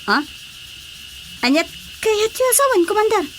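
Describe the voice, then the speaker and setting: A woman's voice: very high voice, big pitch swings, unhurried, a bit bright, a little hiss or hum, heavily acted. A woman playing an emotional, fretful female character in a radio drama. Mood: deferential.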